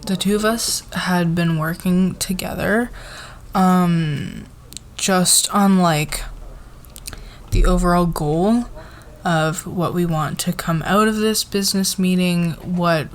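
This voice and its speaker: Casting: actress as supportive woman boss for ASMR roleplay, lowish voice, very slow pace, soft halting meeting talk. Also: fairly even intonation. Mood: encouraging, slightly awkward. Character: friendly, encouraging female boss leading a team meeting.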